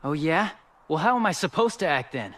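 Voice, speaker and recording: A man's voice: on the high side, very animated, a little fast, a bit bright, slight background noise. An actor playing an earnest, protective young man with a casual, plain-spoken way of talking in a video game. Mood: casual, grudging.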